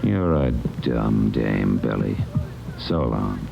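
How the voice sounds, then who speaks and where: An actor playing a callous, resigned ex-con thief in an old radio crime drama, curt and sullen. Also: male voice, a bass voice, lively intonation, slow pace, creaky, music in the background, full character voice. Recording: dull, noisy vintage radio recording.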